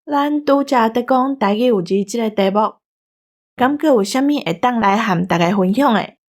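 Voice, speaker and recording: A woman's voice: on the high side, moderate pace, darkish tone. A woman with a Taiwanese accent co-hosting a show in casual, bantering conversation. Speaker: co-host. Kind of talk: casual bantering podcast chat.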